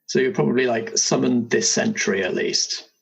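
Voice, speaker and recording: A man's voice: medium pitch, normal speed, creaky, husky, rough recording. A man hosting as game master, casually asking questions and guiding a player through a character. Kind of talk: casual guided interview about a game character.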